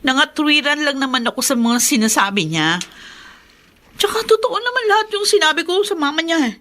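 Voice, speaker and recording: A wronged young Filipino wife in a radio melodrama, plaintive and indignant about her in-laws. Her voice is extremely high and bright, with wide dramatic swings. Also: animated, a little fast. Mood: exasperated. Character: exasperated, wronged young wife and daughter-in-law.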